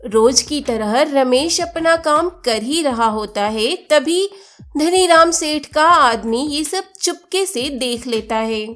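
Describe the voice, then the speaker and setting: A woman's voice: sits high, animated, slow pace, fairly bright tone. A woman reading scripted narration for a moral story as its storyteller.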